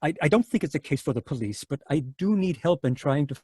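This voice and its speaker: A man playing a husky, fretful psychiatrist. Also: a little high, animated, fast, warm tone, dry recording, studio quality. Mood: anxious. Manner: hesitant, stammering and anxious. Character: worried male psychiatrist doctor. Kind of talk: old-time radio drama acting. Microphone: home mic on a video call.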